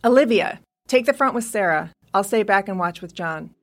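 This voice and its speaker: A woman's voice: mid-range voice, animated, moderate pace. An actress playing a tough, distrustful middle-aged mother in a post-apocalyptic audio drama, talking in a blunt, wary way. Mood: firm, businesslike. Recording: music under the voice.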